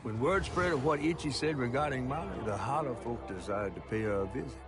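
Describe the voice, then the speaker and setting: A man's voice: medium pitch, brisk, lightly acted. Man as ominous folk-tale narrator over music. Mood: ominous, measured. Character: male storybook narrator telling a dark folk legend. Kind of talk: scripted dramatic storytelling. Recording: loud music under narration.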